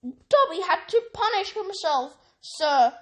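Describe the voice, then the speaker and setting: A woman voicing a timid, servile male house elf who squeaks and pleads, ending each sentence with "sir", in a storybook reading. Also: big pitch swings, slow pace, full character voice. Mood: earnest pleading.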